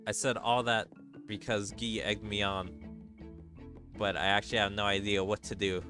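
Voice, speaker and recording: A man's voice: lowish voice, flat delivery, moderate pace, music in the background, lightly acted. A man voicing a proud, boastful little demon lord girl from an anime game. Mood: earnest.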